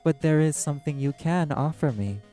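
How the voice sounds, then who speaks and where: A man acts out an ancient, haughty demon casino boss in an audio roleplay. The voice is soft-edged and dark, drawling in measured, theatrical phrases over clearly audible background music. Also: fairly high pitch, expressive, voice pushed up, close to an everyday voice. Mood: sly, bargaining. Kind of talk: scripted audio roleplay monologue.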